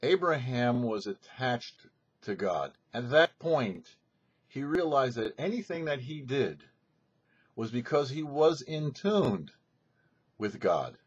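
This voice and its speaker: A man speaking as a rabbi and show host, giving a scripted religious lesson in an emphatic, didactic way with deliberate phrasing and rhetorical questions. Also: mid-range voice, sing-song, husky, highs cut off. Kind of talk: scripted religious teaching, sermon.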